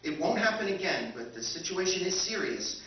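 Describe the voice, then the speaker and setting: A man's voice: sits high, sing-song, moderate pace. A man playing an unflappable, doting military machine in a live 1950s-style radio play, speaking formally and precisely with solemn earnestness. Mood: formal, concerned. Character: unflappable, polite, doting military machine tending supposed soldiers. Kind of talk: live recreation of 1950s radio science fiction acting. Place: live stage at a convention, indoors. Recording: echoey, distant, dull noisy convention hall.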